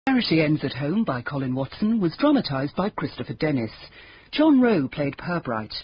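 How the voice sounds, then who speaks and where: A man's voice: a little high, very animated, moderate pace. A man reading the closing credits of a radio drama in a formal announcer style. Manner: formal and clear. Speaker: continuity announcer. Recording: slightly dull radio recording, faint hiss.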